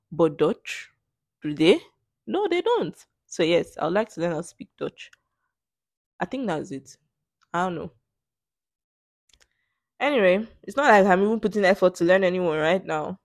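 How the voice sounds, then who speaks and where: A woman's voice: on the low side, lively intonation, a little slow, long pauses, dry recording, studio quality. A young woman with a Nigerian English accent, host of a casual solo podcast, answering questions in a playful, sarcastic way. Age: young adult. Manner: sarcastic, playful, laughs often.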